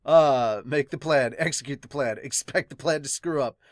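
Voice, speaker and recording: A man chatting casually as a podcast host, reading comments aloud and reacting in an animated but halting way. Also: medium pitch, no echo, studio quality.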